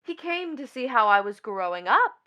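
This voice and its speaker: An actress playing a mocking, bitter young stepdaughter in a stage drama, with a taunting, sardonic delivery. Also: female voice, fairly high pitch, big pitch swings, moderate pace, bright, forceful tone, muffled recording. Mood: wry, reminiscing. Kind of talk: theatrical stage drama acting.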